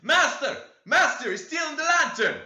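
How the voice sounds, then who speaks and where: A male reader voicing a cantankerous old male farm servant who shrieks in a high voice. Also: wildly swooping pitch, unhurried, sharp tone, heavily acted. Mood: shrieking. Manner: high, shrieking alarm calls. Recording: dull, roomy home recording.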